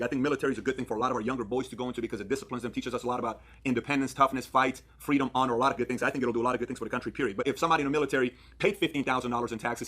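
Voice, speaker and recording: A man's voice: mid-range voice, a bit bright, husky. A man in his thirties from Iran presenting a persuasive explainer, earnest and emphatic, posing questions and answering them himself. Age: 30s. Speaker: video presenter.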